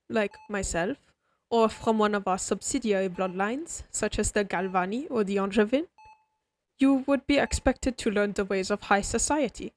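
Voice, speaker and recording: A woman voicing a refined, friendly vampire doctor in a scripted roleplay, explaining things to the listener in a formal, measured, courteous bedside manner. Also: mid-range voice, natural voice. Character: refined, oddly friendly vampire doctor, centuries-old aristocratic healer. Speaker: doctor character in a roleplay audio. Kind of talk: scripted comfort roleplay, spoken directly to listener.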